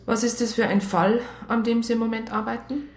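An actress playing a strict police psychologist who narrates a radio crime drama, matter-of-fact. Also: female voice, on the high side, level tone, brisk, natural voice. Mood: stern, formal. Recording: roomy radio play studio sound.

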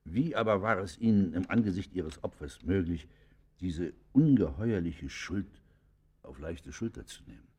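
An actor playing a stern, moralizing magistrate who questions a criminal in a grave, formal, admonishing manner for a radio play. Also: male voice, low-pitched, lively intonation, measured pace, a little mellow, breathy edge, heavily acted. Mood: stern reproach. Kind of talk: German radio play acting.

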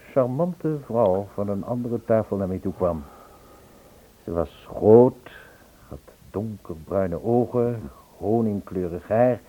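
An actor playing a charming, impulsive man who courteously tells of his romance in a Dutch radio play. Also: medium pitch. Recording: tinny, band-limited old radio recording.

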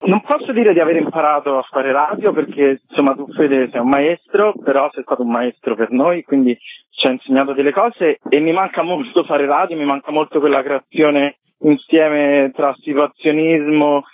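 A man's voice: fairly high pitch, moderate pace. A man speaking informally and reflectively as a guest in a radio interview. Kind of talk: spontaneous radio interview talk. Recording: thin dry phone-like radio line.

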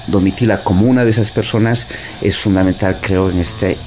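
Male interviewee, low-pitched, formal and analytical, slight background ambience. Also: steady tone, a little mellow. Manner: measured, formal, intellectual phrasing. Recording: faint ambient background noise.

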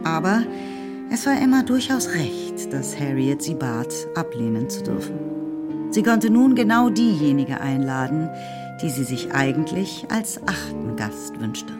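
A female narrator reads a literary story for a studio radio play. Her voice is low for a woman. Music is clearly heard behind the scripted narration.

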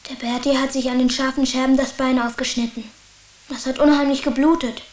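A woman acting a plucky, troubled schoolboy with a high voice. The delivery is colloquial and matter-of-fact, in radio drama style, recorded with a roomy echo. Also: steady tone, a little fast, darkish tone, natural voice. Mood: sheepish.

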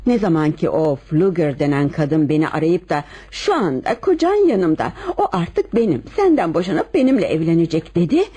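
A woman acting a composed, lovelorn wife confessing to murder in a Turkish radio play, with measured dramatic delivery. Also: deep voice, sing-song, mellow, breathy edge, exaggerated voice. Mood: confiding.

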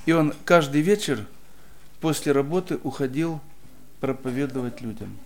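A man preaching and telling a personal story in short phrases, pausing after each so it can be interpreted. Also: medium pitch, a little hiss or hum. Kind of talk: sermon testimony, interpreted line by line.

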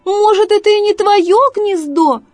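Storybook vixen, high voice, sweet-talking, sly, wheedling, quick to turn shrill. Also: female voice, no echo, faint music behind. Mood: feigned sympathy. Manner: cooing, wheedling, drawn-out flattery.

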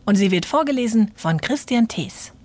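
A woman's voice: a little high, lively intonation, normal speed, a little mellow. A woman presenting on children's radio, giving a chatty scripted introduction addressed to young listeners.

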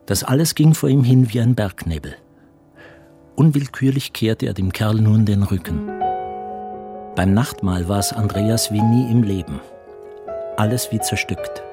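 A man playing the grave storyteller, narrating a literary radio drama in measured phrasing. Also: lowish voice. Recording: studio voice with music behind.

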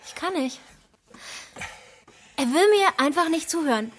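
A stubborn, naive young postwoman in a radio drama. Her voice is high and she speaks plainly, with earnest insistence. Faint noise sits behind her. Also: expressive, measured pace, fairly bright tone.

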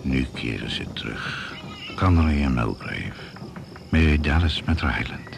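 A man narrating a radio drama in a gravelly, hushed, deliberate and suspenseful manner. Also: extremely low pitch, expressive, over music. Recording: noisy old radio play recording.

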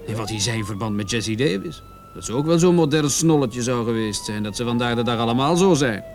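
A man's voice: mid-range voice, lively intonation, a little fast, noisy background, lightly acted. An actor playing an insistent, suspicious younger policeman who defers to his superior in a Dutch radio play.

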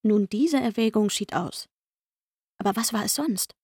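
A woman narrating a literary radio drama with a husky, breathy voice and a knowing, catty manner. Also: medium pitch, unhurried, a little mellow, no room sound, studio quality.

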